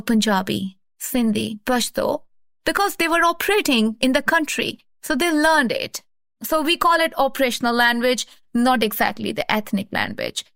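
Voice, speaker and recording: A woman from Pakistan talks through her research in an earnest, explanatory way, circling back over her points. Her voice sits a little high for a woman. It is recorded close and dry, with no room sound. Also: fairly bright tone, no echo. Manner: earnest, explanatory, repeats phrases. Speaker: researcher, guest. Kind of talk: academic conversation.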